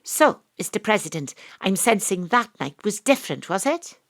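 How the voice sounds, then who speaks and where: A woman's voice: medium pitch, big pitch swings, moderate pace. An actress playing a dry, sardonic woman detective who questions suspects and narrates her case in an audio drama. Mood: probing, brisk.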